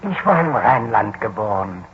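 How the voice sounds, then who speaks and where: A man's voice: on the low side, sing-song, normal speed. An actor playing an old immigrant man from the Rhineland, in 1940s radio drama style. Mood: plain, reminiscing. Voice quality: gravelly. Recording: muffled, hissy 1940s radio recording.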